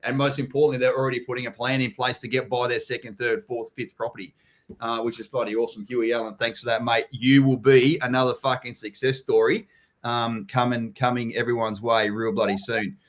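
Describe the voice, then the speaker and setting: A man's voice: mid-range voice, level tone, a little fast. A man with an Australian accent hosting a casual live chat, blunt and swearing freely, calling everyone mate. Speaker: host.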